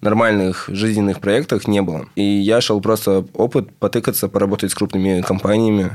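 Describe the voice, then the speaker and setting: A young man in his twenties with a lowish voice, a podcast guest. He tells his story in a relaxed, matter-of-fact way. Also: fairly quick. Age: 20s. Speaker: podcast guest, software developer. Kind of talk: casual conversation.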